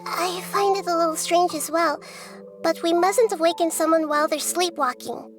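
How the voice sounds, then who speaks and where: A woman voicing a small, childlike goddess, gentle and wise, speaking in a measured, inquisitive way for a video game. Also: very high-pitched. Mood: calm, thoughtful. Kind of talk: anime-style video game acting. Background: music.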